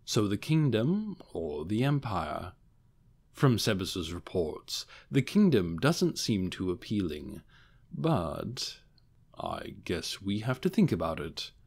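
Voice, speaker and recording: A cautious male overlord, voiced by a man narrating in a fantasy audiobook. He sounds measured but hesitant, awkwardly keeping up the air of a wise ruler. His pitch moves around freely. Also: sing-song, creaky, a little mellow, slightly rough, exaggerated voice. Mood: deliberating.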